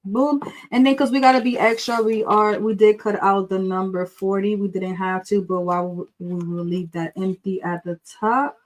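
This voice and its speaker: An excitable woman from the Dominican Republic runs a live craft tutorial, talking straight to her viewers. She chats fast and loose, says y'all a lot and drops in a bit of Spanish, with a nervous edge to her voice. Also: medium pitch, rough recording. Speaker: craft livestream host. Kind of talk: live craft tutorial with chat shout-outs.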